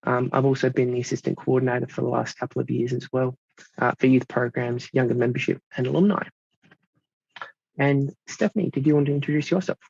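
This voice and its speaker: An Australian man in his thirties giving a club presentation, halting with many fillers. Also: medium pitch, steady tone, vocal fry, darkish tone, slightly rough, no echo. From South Australia. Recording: dull dry lo-fi sound.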